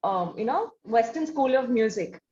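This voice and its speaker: A woman's voice: on the high side, animated, moderate pace, darkish tone. A woman with an Indian accent interviewing conversationally, with wordy, meandering phrasing. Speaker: interview host. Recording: dull, lo-fi connection.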